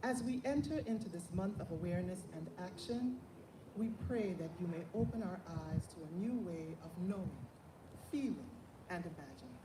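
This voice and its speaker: A woman leading a solemn prayer, reading it out in measured phrases. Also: on the low side, expressive. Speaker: prayer leader. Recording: distant, echoey, noisy event recording.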